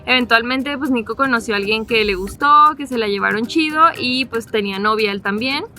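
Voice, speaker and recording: A woman's voice: a little high, fairly quick, sharp tone. A woman in her twenties with a Mexican Spanish accent, chatting casually to camera as a travel vlogger, with easy laughs and a loose, filler-filled storytelling style. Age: 20s. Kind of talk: casual sit-down chat to camera. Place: seated indoors facing the camera. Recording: music bed under the voice.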